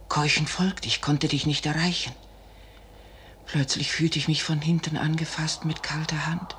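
A devoted noblewoman full of dread in a vintage radio play. Her delivery is very unhurried, solemn and often hushed, declaimed like stage verse. Faint noise lies on the old recording. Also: low-pitched. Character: fearful, devoted, foreboding noblewoman, resolute in dread. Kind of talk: 1950s German radio drama stage acting. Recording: noisy 1950s radio recording.

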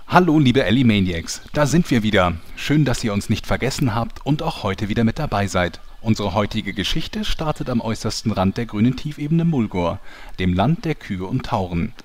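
Male narrator for parody radio play, man acting: lowish pitch, genial, scripted storytelling straight to the listeners. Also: animated, normal speed. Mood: welcoming. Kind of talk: scripted audio drama narration.

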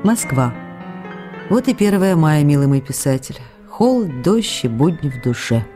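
An actress reading a young actress's letters in a radio play, playful and wistful by turns, with soft music behind. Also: female voice, deep voice, big pitch swings, a little slow. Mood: tender.

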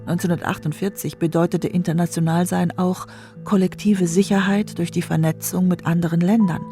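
An actress playing a stern, disillusioned ghost of the postwar past in a radio play, speaking in clipped declarative phrases. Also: female voice, on the low side, fairly even intonation, brisk, mellow, lightly acted. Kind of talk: literary radio play monologue. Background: faint music.

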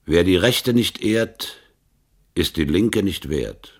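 An actor playing a solemn announcer of mock proverbs, drawing out each phrase in an experimental radio play. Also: male voice, low-pitched, expressive, breathy edge, exaggerated voice. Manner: drawn-out, declamatory delivery.

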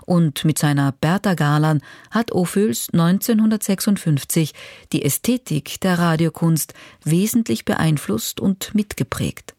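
A woman's voice: lowish voice, a little slow, darkish tone, no echo. A woman presenting a radio magazine, reading scripted narration into a close studio microphone.

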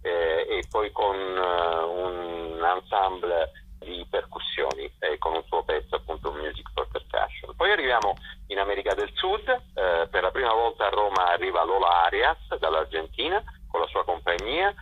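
A man's voice: low voice, expressive, moderate pace. A man, a festival director, presenting a programme off the cuff on radio, hesitantly searching for his words over a narrow, tinny telephone-like line. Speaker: festival director being interviewed.

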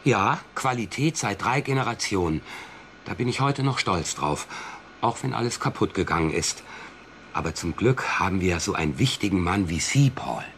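Radio drama role: a wheedling, proud small-town farmer, slightly deep, familiar, some background noise. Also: male voice, animated, normal speed, a bit bright. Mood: ingratiating. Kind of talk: German radio drama acting.

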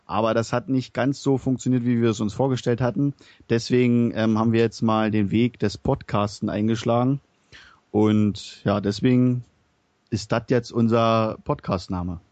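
A man's voice: mid-range voice, fairly even intonation, normal speed, a little mellow, no room sound, dull audio. A man from Berlin with a Berlin accent, co-hosting a podcast as the football expert and speaking hesitantly. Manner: hesitant, many fillers. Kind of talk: casual podcast chat.

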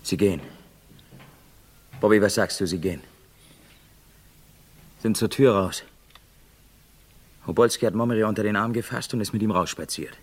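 A man's voice: lowish voice, moderate pace, long pauses, husky. An actor in a vintage radio play, playing a keen, wry male reporter describing events as they happen. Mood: astonished. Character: keen male live reporter named Jimmy, wryly excitable.

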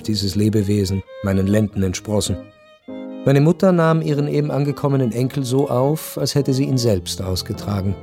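An actor playing a reflective former officer who narrates his memoir in a measured, literary radio drama style. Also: mid-range voice, natural voice. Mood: tender, melancholy.

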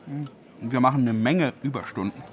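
An actor in a radio play as a zealous, pedantic dictionary compiler who speaks with gloating enthusiasm. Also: male voice, mid-range voice, normal speed, natural voice. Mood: pedantic passion. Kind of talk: radio play dialogue acting. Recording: faint background noise.

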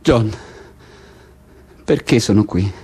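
Husky, anguished male prisoner. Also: fairly low pitch, slow pace, darkish tone, heavily acted. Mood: anguished, bitter. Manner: foul-mouthed, emotional, repetitive. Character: Winston, bitter despairing male political prisoner in South Africa. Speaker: stage actor. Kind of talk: theatre acting, intense naturalistic dialogue.

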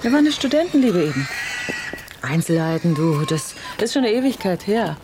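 A woman's voice: on the low side, very animated, moderate pace, music in the background. A woman playing an educated, earnest visitor with a wry, thoughtful manner in a naturalistic radio drama. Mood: wistful.

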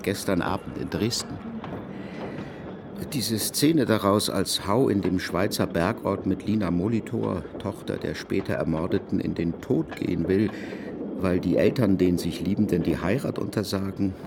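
An actor playing a self-assured, probing criminologist in a German radio play, speaking formally and precisely. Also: male voice, low-pitched, moderate pace, gravelly, noisy background.

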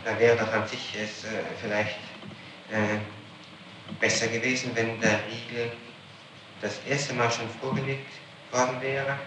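A male police interrogator questions insistently and formally in a mid-pitched voice, challenging what he is told. He sounds set back from the microphone in a very reverberant room on a noisy archival tape. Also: level tone, measured pace, recorded from a distance.

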